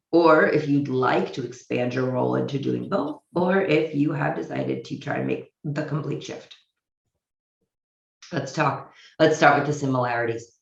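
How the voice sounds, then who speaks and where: An American woman speaks at a virtual conference, her voice muffled and a little roomy, as if heard through a video call. She talks with a thoughtful, hesitant pace, pausing on ums and restarting sentences as she works out her thoughts. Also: sits low, vocal fry. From United States. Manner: thoughtful, many fillers and restarts. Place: home office in Israel.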